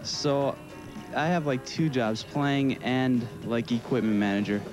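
A young American man answers interview questions casually, pausing often. His pitch is middling, and music plays clearly behind him. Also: poor audio. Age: young adult. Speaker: soccer player being interviewed.

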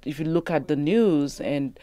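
A woman's voice: low-pitched, measured pace, warm tone, no echo. A middle-aged woman with a Cameroonian accent, hosting a conversational interview in a studio. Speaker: interview host. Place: small radio studio, indoors. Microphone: studio mic on boom arm.

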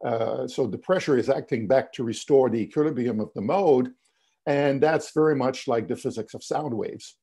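Older male lecturer on a video call, warm and slightly raspy, patient explainer, voice fading. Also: mid-range voice, moderate pace. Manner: explanatory, many "you know" fillers and restarts. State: losing his voice, coughing. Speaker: physics lecturer. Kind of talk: webinar lecture over slides. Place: home office, indoors.

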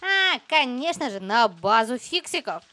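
A woman with a high, bright voice that swings up and down as she comments on a game for children. She is chatty and full of exclamations. She speaks straight to the young viewer, as a playful channel host. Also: sing-song, measured pace.

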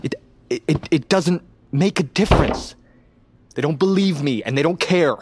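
A man's voice: fairly high pitch, moderate pace, background noise, natural voice. An actor playing a troubled, weary man in his late twenties in an audio drama, guarded and stammering. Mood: bitter, frustrated. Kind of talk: naturalistic audio drama acting. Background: music.

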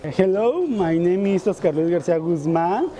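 A young man, pausing and searching for words in English. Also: sits high, sing-song, warm tone. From Mexico. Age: late teens. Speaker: student competitor. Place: busy indoor university lobby. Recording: crowd chatter behind.

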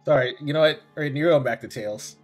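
A man's voice: a little high, quick pace, faint music behind. A young man chatting casually as he plays a game and does an amateur fandub. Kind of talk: casual gaming chat.

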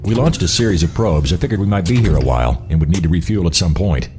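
A man's voice: low voice, animated, quick pace, darkish tone, faint music behind. A man playing a respectful starship officer who reports in a grave, matter-of-fact way in a sci-fi audio drama. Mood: grave reporting.

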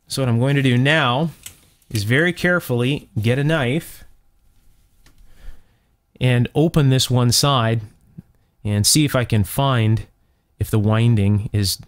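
A man's voice: mid-range voice, measured pace, pauses often. Man methodically explaining a hands-on repair. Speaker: electronics repair host. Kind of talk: hands-on repair demonstration, talking while working.

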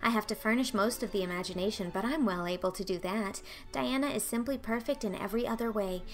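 A woman voicing an excitable, dreamy young girl who talks in a breathless, gushing, dramatic way for an audiobook. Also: mid-range voice, quick pace, soft background music, natural voice. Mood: dreamy chatter. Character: excitable, dreamy, talkative eleven-year-old orphan girl. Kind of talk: audiobook character acting.

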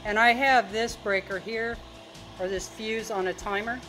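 A woman's voice: a little high, steady tone, a little slow, fairly bright tone, soft background music, poor audio. A middle-aged woman giving a casual, plainspoken, step-by-step tutorial as a DIY video host. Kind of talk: casual hands-on tutorial narration.

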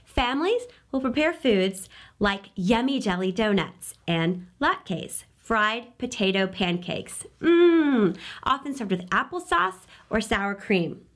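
A middle-aged woman hosting a children's read-aloud, speaking expressively and emphatically with dramatic pauses. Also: fairly high pitch, big pitch swings. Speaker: children's storytime host.

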